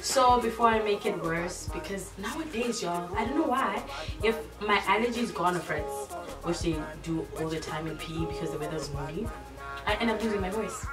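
A hoarse young woman from South Africa, casually chatting through a makeup tutorial with self-mocking asides. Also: medium pitch, normal speed. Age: young adult. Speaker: beauty vlogger. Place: bright room indoors. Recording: echoey room, mic far off, music behind.

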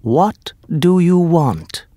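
A man plays a big magical storybook genie. He declaims very slowly, with lively swings in pitch, ready to serve. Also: no room sound, studio quality. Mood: commanding.

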